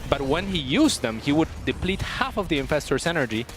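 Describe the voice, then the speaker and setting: A man's voice: fairly high pitch, very animated, brisk, over music. A man in his thirties giving casual esports commentary, often repeating words as he goes. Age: 30s. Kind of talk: casual chat, esports analysis.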